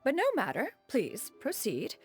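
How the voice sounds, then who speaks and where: An actress playing a proud, ancient bird immortal in a video game, speaking in pompous, formal, archaic phrases. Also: female voice, on the high side, huge pitch swings, a little slow, husky, faint music behind, exaggerated voice. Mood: composed, formal. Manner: pompous, formal archaic diction, calls herself "one". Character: proud, ancient female bird adeptus, imperious and eloquent.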